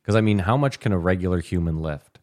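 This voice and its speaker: A man's voice: deep voice, expressive, fast talker, a little mellow. A man co-hosting a podcast, teasing and arguing in a dry manner during casual chat. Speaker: podcast co-host.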